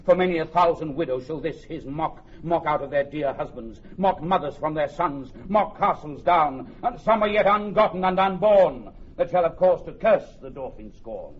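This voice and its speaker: An actor playing a proud, steely young English king in a declamatory, measured Shakespearean radio drama style. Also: a little high, lively intonation, close to an everyday voice. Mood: rising menace. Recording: dull, faintly noisy old radio recording, distant.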